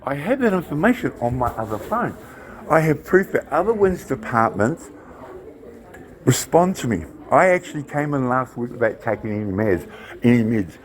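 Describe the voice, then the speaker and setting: A New man arguing his case loudly and insistently with police in a government office. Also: mid-range voice, sing-song, moderate pace, rough recording. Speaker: member of the public confronting police. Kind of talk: heated argument. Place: government office lobby, indoors.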